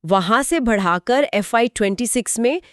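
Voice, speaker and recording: A woman's voice: fairly high pitch, animated, normal speed, a bit bright, clean tone, dry recording, clean studio sound. A woman co-hosting a conversational explainer, speaking inquisitively and mixing English terms into her speech. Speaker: co-host of a financial explainer discussion.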